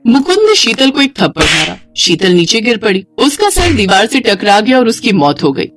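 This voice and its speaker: Animated female cartoon-story narrator. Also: a little high, moderate pace, faint music behind. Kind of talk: scripted dramatic narration for a cartoon moral story.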